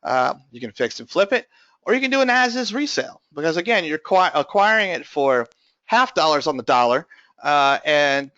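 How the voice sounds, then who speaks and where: Man, webinar presenter, strained bright voice, explanatory, filler-heavy, dry lo-fi sound. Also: a little high, big pitch swings, moderate pace, no room sound. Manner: explanatory, many filler uh's. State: voice strained from bronchitis. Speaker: tax lien investment presenter.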